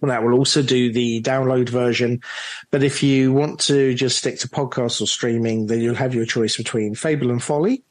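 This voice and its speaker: A man's voice: mid-range voice, fairly even intonation, moderate pace, no room sound. A man being interviewed as a drama director, chatting in an enthusiastic, emphatic way. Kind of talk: animated interview chat.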